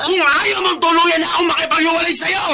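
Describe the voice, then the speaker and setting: Gravelly, high-pitched arrogant husband. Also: male voice, steady tone, fairly quick, over a noisy crowd. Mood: angry, defiant. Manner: boastful, domineering. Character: arrogant, womanizing husband, boastful and bullying. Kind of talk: melodramatic radio drama acting.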